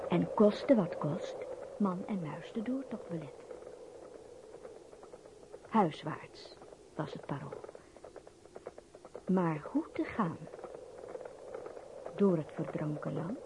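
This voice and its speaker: A woman narrating a radio play as a storyteller, with dramatic phrasing, deliberate pauses and rhetorical questions. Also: on the low side, lively intonation, background noise. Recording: dull, band-limited old radio recording. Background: music.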